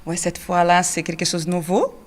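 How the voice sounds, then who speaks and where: A woman in her thirties from Brazil, a drama teacher and actress, answering interview questions in French with a Brazilian Portuguese accent, often pausing with fillers and searching for words. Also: fairly low pitch, little pitch movement. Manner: searching non-native French, frequent fillers and quick laughs.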